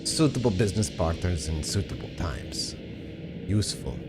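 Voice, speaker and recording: Man playing a smooth, low-voiced scheming vampire elder. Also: big pitch swings, loud music behind the voice. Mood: calculating. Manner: measured, formal, calculating. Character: cunning, composed male vampire elder, smug mentor.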